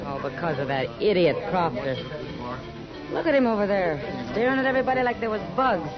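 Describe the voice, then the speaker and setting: A woman's voice: mid-range voice, big pitch swings, fairly quick, lightly acted. An actress playing a candid, world-wise frontier woman in a 1950s western radio drama, plain-spoken in delivery. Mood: scornful. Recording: muffled 1950s radio, loud music, crowd. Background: saloon music and crowd chatter.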